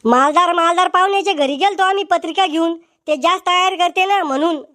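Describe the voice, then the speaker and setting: A man voicing a blunt, mocking young village man in a cartoon, with a Varhadi Marathi accent and a pitched-up voice. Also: brisk, sharp tone, dry recording, clean studio sound.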